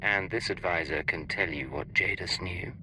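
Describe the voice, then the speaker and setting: Deep-voiced, unflinching secret agent. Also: male voice, moderate pace, pushed and bright, a little hiss or hum. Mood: dry, defiant. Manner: dry, unflinching. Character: defiant, cool male imperial secret agent. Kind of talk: scripted video game acting.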